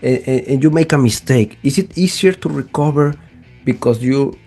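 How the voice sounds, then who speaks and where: A man's voice: medium pitch, normal speed, warm tone, faint music behind. A man interviewing a singer, speaking as a with enthusiastic, eager questions. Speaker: interviewer, show host. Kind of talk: casual interview conversation.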